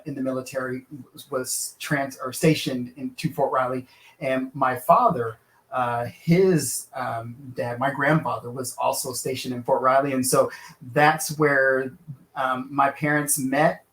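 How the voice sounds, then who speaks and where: A man from Kansas, a lawyer and author, answering interview questions in an earnest, halting way full of fillers and restarts. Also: medium pitch, fairly bright tone, echoey room, low-quality recording.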